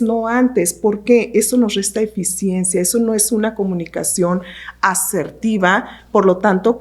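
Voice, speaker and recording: A woman's voice: mid-range voice, darkish tone. Woman explaining steadily. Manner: measured, explanatory, repeats phrases for emphasis. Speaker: podcast co-host, university professor. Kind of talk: panel discussion on a podcast.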